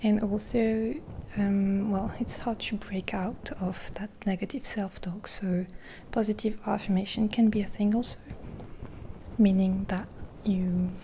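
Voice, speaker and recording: Woman whispering soft asmr talk close to the mic, gentle and reassuring, kinda mellow, faint hiss behind. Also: mid-range voice, fairly even intonation. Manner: gentle, half-whispered rambling with pauses; caring, reassuring. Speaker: ASMR creator. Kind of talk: soft-spoken ASMR roleplay.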